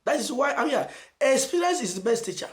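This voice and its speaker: A Nigerian man giving an emphatic motivational message as a channel host, asking rhetorical questions and stressing phrases slowly. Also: extremely high pitch, big pitch swings, reverberant. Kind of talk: motivational preaching to camera.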